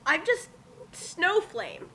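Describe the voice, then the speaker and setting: Bright, high-voiced excitable woman. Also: takes it slowly, slight background noise, rough recording. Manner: excitable, exclamatory. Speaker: commentator in a dramatic fanfic reading. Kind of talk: casual banter and reactions.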